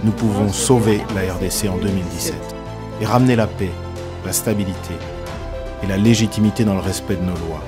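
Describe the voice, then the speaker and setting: Deep-ish guy doing a campaign promo voiceover, music clearly playing under him. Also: a little fast. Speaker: campaign announcer. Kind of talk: scripted promotional narration. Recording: loud music bed under voice.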